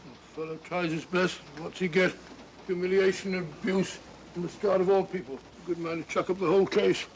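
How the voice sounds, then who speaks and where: Casting: self-important older English doctor, high voice, flustered stammering bluster, old film with faint hiss. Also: male voice, steady tone, poor audio. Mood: flustered, grumbling. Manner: blustery, halting, repeats himself. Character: bumbling, genial, self-important older doctor, detective's loyal friend. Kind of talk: 1940s British mystery film acting. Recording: hissy old film soundtrack.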